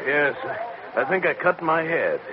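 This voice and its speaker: A man's voice: a little high, very animated, measured pace. A man playing a flirtatious, polite and easily cowed man about town, with hesitant, wheedling 1940s radio acting. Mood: meek, shaken. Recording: muffled old radio, crowd behind.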